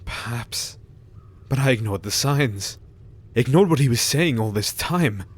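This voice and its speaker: An actor playing a guilt-ridden young doctor in an audio drama, with subdued, guilt-laden speech. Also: male voice, mid-range voice, lively intonation, a little slow, breathy edge. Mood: guilty.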